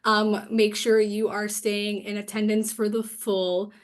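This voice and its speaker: A young woman with a raspy voice from a cold, hosting an online forum with chatty, upbeat talk and many fillers. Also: mid-range voice, flat delivery, a little slow. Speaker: host. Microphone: video call.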